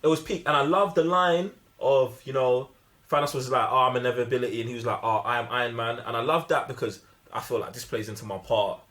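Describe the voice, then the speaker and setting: A man's voice: medium pitch, quick pace, a bit bright. A young man with a London accent chatting casually about films in heavy slang, opinionated and emphatic, often repeating himself for effect. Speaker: movie review podcast host.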